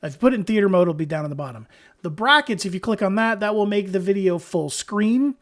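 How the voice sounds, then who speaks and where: A hoarse-voiced man leads a relaxed software webinar from his home, speaking in an easygoing, upbeat way. He addresses his viewers directly, like a friendly trainer on a livestream. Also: high voice, quick pace. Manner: chatty and upbeat, talks straight to viewers. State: hoarse from allergies. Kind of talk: casual live-streamed tutorial.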